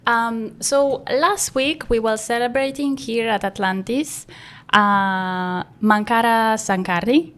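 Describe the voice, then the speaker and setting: A woman academic advisor hosting a podcast, asking students questions slowly with many pauses. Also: a little high, fairly bright tone. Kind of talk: casual interview.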